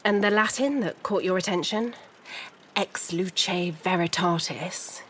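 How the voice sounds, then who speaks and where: A woman's voice: on the low side, a little slow, fairly bright tone, slight background noise. An actress playing a refined, determined, anxious wife of a missing man in a 1930s noir audio drama, formal and articulate. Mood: composed, informative. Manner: formal, articulate, clipped politeness. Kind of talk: scripted period noir audio drama acting.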